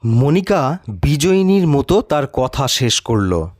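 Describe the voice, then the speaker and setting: A man giving a deliberate, dramatic first-person narration of a story. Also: medium pitch, big pitch swings, a little mellow, no echo, clean studio sound.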